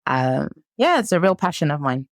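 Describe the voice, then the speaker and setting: Guest voice: 19-year-old woman from France, native French, lowish pitch, dry close sound, chatty with frequent ums and laughs. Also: big pitch swings, normal speed, gravelly, no echo. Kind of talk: casual interview chat.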